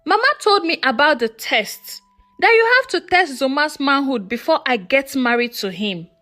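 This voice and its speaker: A woman's voice: high voice, lively intonation, moderate pace, pushed and bright, faint music behind. A woman voicing an innocent young village bride-to-be, uneasy and questioning, in a folktale.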